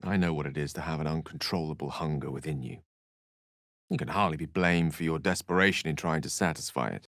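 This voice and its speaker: An actor voicing a wry, bookish human wizard in a video game, speaking with a British accent in an articulate, theatrical and wordy manner. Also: male voice, rumbling low, fairly quick, a bit bright, no room sound, clean studio sound. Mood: soft, earnest.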